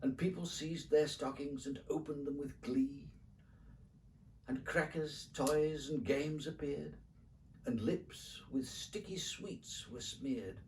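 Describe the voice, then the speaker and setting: A man reading a children's poem as a dramatic storyteller, with rhythmic, theatrical, expressive phrasing. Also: fairly high pitch, takes it slowly, recorded from a distance, slight background noise, rough recording. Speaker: poetry reader.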